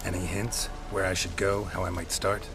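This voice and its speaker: An actor playing a weary, sardonic mercenary monster hunter in a video game, gravelly, laconic and deadpan. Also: male voice, low voice, moderate pace, natural voice. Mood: terse, businesslike. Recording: rain and thunder noise behind.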